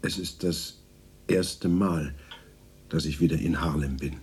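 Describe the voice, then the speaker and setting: An actor playing a haunted, brooding man who recalls a wartime childhood in a hushed, measured, introspective radio drama narration. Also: a bass voice, steady tone, breathy edge, heavily acted. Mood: reserved, polite. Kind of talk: radio drama, reflective first-person narration with dialogue.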